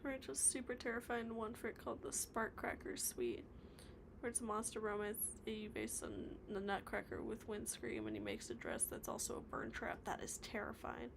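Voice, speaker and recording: A woman's voice: fairly high pitch, vocal fry, background noise. A woman live-streaming her stop-motion animation work, chatting casually, reading comments and rambling with mumbled asides. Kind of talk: casual livestream chat reading viewer comments. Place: home workspace, animating on the floor.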